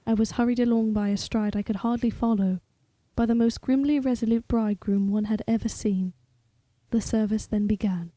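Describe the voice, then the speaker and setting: A woman playing a wistful, sombre young bride narrating her story in an audio drama, measured and literary. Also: a little high, steady tone, mellow, no room sound, lightly acted, poor audio. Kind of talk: scripted first-person narration in audio drama.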